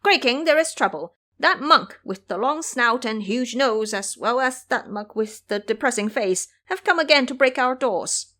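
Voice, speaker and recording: A woman's voice: fairly high pitch, expressive, moderate pace, few pauses, pushed and bright, pitched above the performer's own voice. A woman voicing an alarmed little imp servant reporting anxiously to a monster master in an audio drama.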